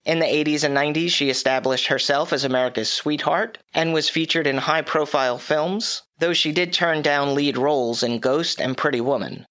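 Man, podcast host, slightly hoarse, bright tone, a bit high-pitched, dry wry asides. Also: moderate pace, few pauses. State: hoarse from a cough. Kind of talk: scripted solo podcast review.

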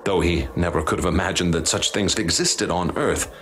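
A haunted professor in a radio drama, his voice low and grave. He speaks formally and with ominous weight, measuring out each phrase. Clear noise and ambience sit behind him. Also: normal speed, fairly bright tone. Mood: grave, measured. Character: grave haunted male professor recounting horrors he witnessed.

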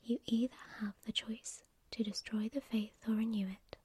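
A woman's voice: fairly high pitch, flat delivery, a little mellow. A woman whispering as a solemn male angel messenger. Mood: preaching. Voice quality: breathy whisper. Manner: measured, preaching delivery. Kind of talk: whispered storytelling.

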